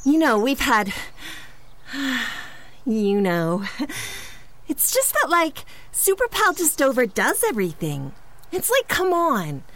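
A woman's voice: high-pitched, big pitch swings, slow, fairly bright tone, heavily acted. A woman playing an offhand, exasperated and slangy character chatting in a comedic audio drama mock interview. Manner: slangy, casual, eye-rolling. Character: offhand, exasperated chatty female acquaintance of a superhero.

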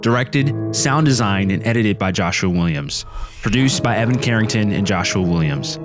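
A man's voice: fairly low pitch, animated, moderate pace, music in the background. A man working as an announcer, reading scripted end credits. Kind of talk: scripted narration.

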